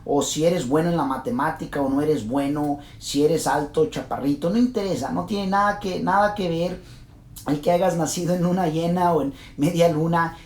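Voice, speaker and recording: A man in his late thirties with a Mexican accent, earnestly telling his life story to course subscribers, with many fillers and restarts. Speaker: real estate investor and course host. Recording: roomy with faint rumble.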